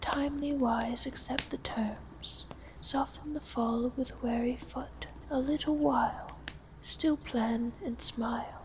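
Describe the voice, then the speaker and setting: A woman playing an elegant, lonely vampire countess in an ASMR roleplay, with a soft, breathy whisper and a formal, genteel manner. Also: high-pitched, steady tone, slow pace. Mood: hushed, reciting. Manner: formal, genteel, old-fashioned phrasing. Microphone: close binaural microphone. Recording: close binaural whisper with audible hiss.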